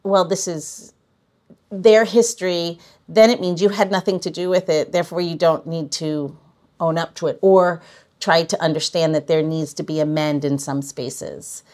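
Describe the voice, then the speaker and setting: A woman's voice: fairly low pitch, steady tone, moderate pace, darkish tone. A woman hosting a podcast, chatting casually in an effusive, rambling way. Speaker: podcast host. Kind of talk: casual interview chat.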